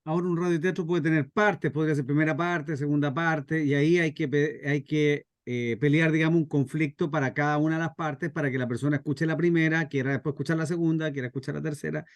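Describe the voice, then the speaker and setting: A man's voice: a little high, fairly even intonation, quick pace, few pauses, no echo. A man with a Chilean accent explaining things informally, as the teacher of a workshop. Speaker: scriptwriter leading a radio drama workshop.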